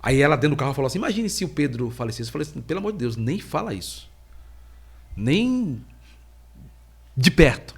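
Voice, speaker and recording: A middle-aged man, a podcast host and preacher, giving a slow, halting personal faith testimony while he has a cold. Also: a little high, big pitch swings, gravelly, husky. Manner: slow, halting, heavy pauses.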